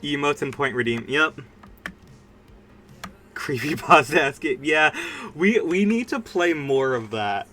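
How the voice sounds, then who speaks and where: Streamer, mid-pitched bright voice, casual rambling chat, emphatic, informal, light background music. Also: lively intonation, unhurried. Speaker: livestreamer. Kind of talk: casual livestream chat while gaming.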